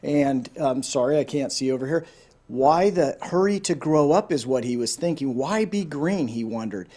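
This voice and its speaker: A man's voice: on the high side, lively intonation, moderate pace, warm tone. A man presenting and reading a children's story aloud as a storyteller, talking informally and directly to listeners. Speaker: volunteer storyteller.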